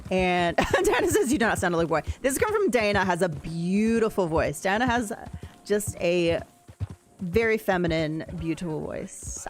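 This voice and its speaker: A woman with a deep voice, chatting casually and rambling while she plays a game on a livestream and answers her chat. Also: slight background noise. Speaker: streamer. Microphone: desk mic, no camera.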